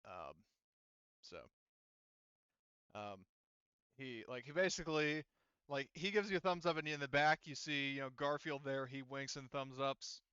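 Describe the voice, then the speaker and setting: A man narrates a tabletop roleplaying game in a bright, smooth voice. His delivery is conversational and halting as he improvises. The sound is close and dry, with slightly muffled top end. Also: medium pitch, no room sound. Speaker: game master.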